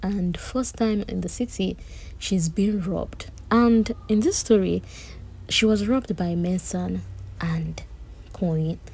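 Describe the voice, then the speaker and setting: A woman gives a chatty, animated recap of a reality-show drama, with personal asides. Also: mid-range voice, slow, vocal fry, slightly rough, poor audio. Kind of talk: casual recap commentary.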